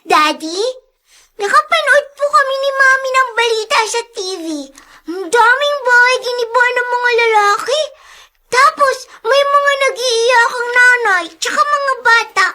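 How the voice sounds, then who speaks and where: A curious young girl in a radio drama. The voice sits very high and sounds bright, and she talks politely and innocently, like a child. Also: animated, a little slow, full character voice.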